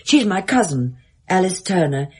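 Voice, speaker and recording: An actress playing a kindly but stubborn older British landlady in a radio drama, husky-voiced, warm, brisk and motherly. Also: fairly low pitch, big pitch swings. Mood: brisk, reassuring.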